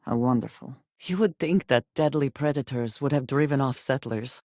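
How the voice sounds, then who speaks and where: A woman's voice: very deep voice, darkish tone, no echo, studio quality. Guarded, formal spacefaring woman for a game. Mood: composed, observant. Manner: formal, measured wording without contractions. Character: guarded, earnest female spacefaring companion with a hidden past. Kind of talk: video game character dialogue.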